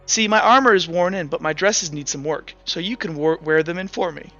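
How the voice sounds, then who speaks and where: Man doing a proud, mocking woman general, bright, dull lo-fi audio with faint music. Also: on the high side, brisk.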